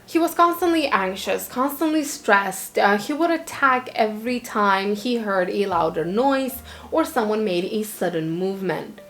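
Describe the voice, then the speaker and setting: A young woman from Lithuania, a native Lithuanian speaker, giving candid, opinionated book reviews in English in a chatty vlog style with the odd filler. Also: medium pitch. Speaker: book vlogger.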